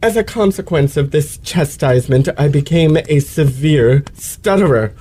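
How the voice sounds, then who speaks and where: A man's voice: on the high side, expressive, moderate pace, warm tone, husky. A man playing a pompous, self-pitying male lecturer with florid, precise elocution in an old-fashioned radio play. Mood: aggrieved, theatrical.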